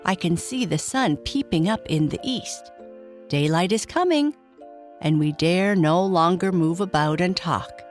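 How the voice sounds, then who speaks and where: A woman's voice: lowish voice, expressive, a little slow, faint music behind. A woman voicing a watchful, somewhat bossy male toy monkey on a stick in a children's storybook. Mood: cautioning.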